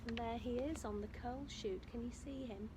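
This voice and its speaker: A woman's voice: a little high, moderate pace, background noise. A woman reads a picture book aloud to young children, expressively and emphatically, chatting to her listeners as she goes. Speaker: infant school storyteller.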